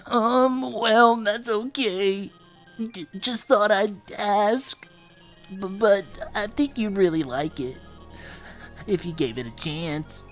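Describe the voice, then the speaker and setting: Shy nerdy monster scientist, woman performer, slow stammering delivery, video game dialogue over music. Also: mid-range voice, close to an everyday voice. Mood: hesitant, let down. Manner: halting, stammering.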